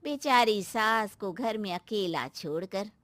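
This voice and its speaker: A woman's voice: medium pitch, lively intonation, takes it slowly, fairly bright tone, dry recording. A woman playing a nosy, critical older aunt-in-law in a cartoon family drama. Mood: reproachful.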